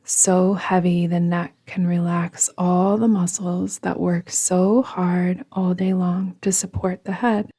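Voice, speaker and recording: A woman guiding a yoga nidra meditation in a soothing, gentle, deliberate manner, often trailing off into a whisper. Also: lowish voice, little pitch movement. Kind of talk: guided meditation narration.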